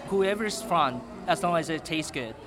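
A young man, a student leader, answering interview questions in a casual, relaxed way with "like" fillers. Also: fairly high pitch, moderate pace, soft background music. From Taiwan. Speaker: student association president.

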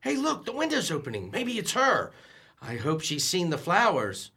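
Man playing a bright-voiced, stammering young suitor. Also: sits high, wildly swooping pitch, moderate pace, breathy edge, full character voice. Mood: nervous, hopeful. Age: young adult. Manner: stammering, naive, slangy. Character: nervous, lovestruck, boastful twenty-one-year-old suitor. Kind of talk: old-time radio comedy acting.

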